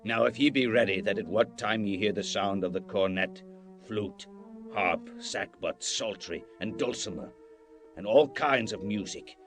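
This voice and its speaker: A wrathful, commanding king of ancient Babylon acted by a man speaks in a low voice. The delivery is grand, deliberate and declamatory, as in a dramatized scripture, over a clear music bed. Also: lightly acted. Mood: menacing.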